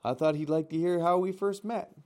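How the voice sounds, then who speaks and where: A man's voice: on the high side, steady tone, fairly quick, darkish tone, pitched above the performer's own voice, lightly acted. A man voicing a friendly, chatty female otter.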